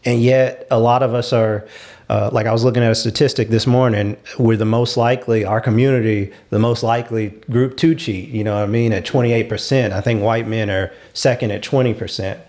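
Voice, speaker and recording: A man's voice: mid-range voice, fairly even intonation, fairly quick, a little mellow. Earnest male host, conversational. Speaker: show host. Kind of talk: panel discussion, conversational.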